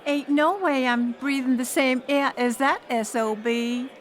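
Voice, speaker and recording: A woman's voice: high-pitched, measured pace. A woman playing a bossy, bitter young wife in an audiobook drama, indignant and commanding. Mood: disgusted, furious. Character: bitter, bossy, furious daughter-in-law.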